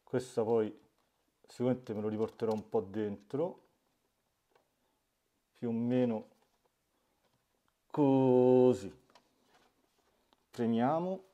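A man's voice: mid-range voice, slow pace, long pauses, mellow. A man, giving a hands-on DIY tutorial as the host, recorded indoors in a small room. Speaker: host of a DIY smart-home channel. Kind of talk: hands-on tutorial narration to camera.

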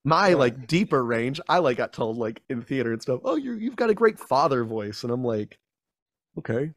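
A man's voice: medium pitch, very animated, moderate pace. A young man, a voice actor, chatting in an interview over a video call with a studio mic, rambling and self-deprecating with many restarts. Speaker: guest voice actor. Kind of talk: casual interview chat. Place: small room at home.

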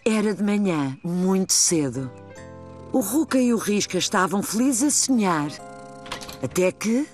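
A woman voicing a gentle storybook narrator for a children's cartoon, with a European Portuguese accent. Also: medium pitch, expressive, measured pace, soft background music, close to an everyday voice. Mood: gentle storytelling.

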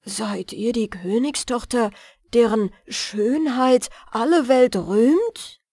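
A woman reads a fearless young fairy-tale hero, earnest and plain-spoken. The voice is fairly high and unhurried, recorded dry. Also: warm tone, dry recording. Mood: earnest, yearning. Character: fearless, kind young man seeking a princess.

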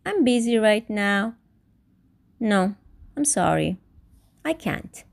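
A woman's voice: medium pitch, big pitch swings, slow pace, creaky, exaggerated voice. A woman voicing an anxious male koala from a children's storybook, hesitant, polite and reluctant. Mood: hesitant, apologetic. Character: timid, anxious male koala who refuses to budge.

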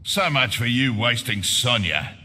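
A man with an Australian accent playing a gruff, taunting mercenary villain in a video game. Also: medium pitch, expressive, a little slow, heavy background noise, lightly acted. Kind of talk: video game fighter banter.

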